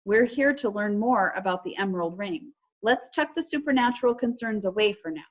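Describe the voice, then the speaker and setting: A woman's voice: mid-range voice, lively intonation, fairly quick, natural voice. Woman as a frank, sensible storybook girl. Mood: firm.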